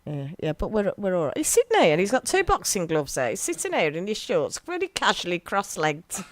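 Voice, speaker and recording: An English woman hosting a local radio show, chatting casually and giggling, with a slightly gravelly voice tonight. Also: lowish voice, huge pitch swings, a little fast, no echo. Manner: chatty, giggly, talks over music. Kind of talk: casual chat. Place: small local radio studio.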